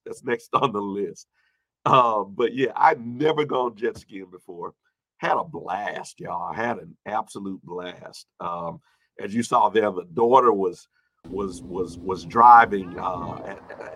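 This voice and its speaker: A man in his early fifties speaking as a pastor in an informal sermon, repeating phrases for emphasis and moving between loud and hushed delivery. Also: lowish voice, dry recording, low-quality recording. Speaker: pastor addressing an online congregation. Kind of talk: informal sermon with personal anecdotes and replies to viewer comments.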